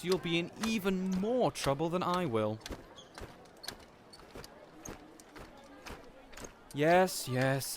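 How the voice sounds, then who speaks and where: A man's voice: on the high side, slight background noise. An actor playing a spoiled, arrogant young nobleman in an audio roleplay drama, theatrical and sarcastic, sneering at his guard.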